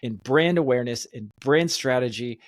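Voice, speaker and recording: A man's voice: mid-range voice, normal speed, no echo, clean studio sound. A man hosting a podcast, chatting casually in an emphatic, enthusiastic way. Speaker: podcast host.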